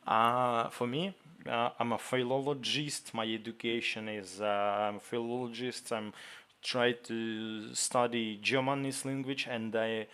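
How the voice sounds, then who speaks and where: A man with a Ukrainian accent, a native Ukrainian speaker, hosting a casual livestream Q&A, reading viewer questions aloud and answering in halting English. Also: medium pitch, steady tone, a bit bright, clear, resonant tone. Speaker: livestream host answering chat.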